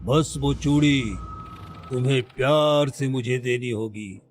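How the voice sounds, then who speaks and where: A man's voice: mid-range voice, takes it slowly, slight background noise, close to an everyday voice. A man voicing a scheming, power-hungry villain snake in a cartoon story, gloating and menacing as he talks. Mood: coaxing menace. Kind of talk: Hindi cartoon story voice acting.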